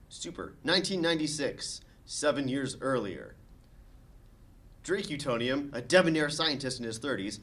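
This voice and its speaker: A man's voice: medium pitch, fairly bright tone. A man reading stage directions and narration aloud as the narrator of a comedy script table read.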